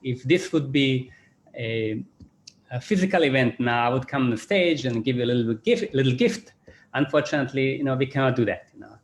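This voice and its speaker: Croatian-accented man, halting and repetitive. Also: medium pitch, a little hiss or hum. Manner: halting, repeats words, many "you know" fillers. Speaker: business school chairman. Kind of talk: closing remarks at an online panel.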